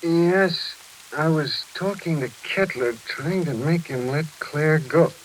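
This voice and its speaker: An actor playing a desperate, anguished husband who speaks urgently and haltingly, in 1940s radio thriller style. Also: male voice, a little high. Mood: dazed anguish. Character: desperate husband trapped by a mad surgeon. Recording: hissy, noisy 1940s radio recording.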